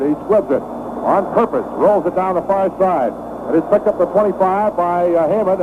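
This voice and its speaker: A middle-aged man calling a football game live on radio, with quick excited play calling that climbs higher on big plays. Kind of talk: live radio sports commentary. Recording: tinny old radio broadcast with heavy hiss.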